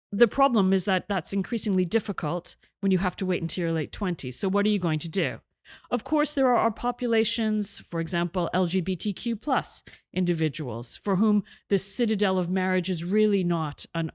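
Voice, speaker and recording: A middle-aged woman, an author and journalist, speaking articulately as an interview guest and explaining her ideas at length. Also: mid-range voice. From half Egyptian, half British; raised in Canada. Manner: articulate, explanatory, with occasional restarts. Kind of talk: interview conversation.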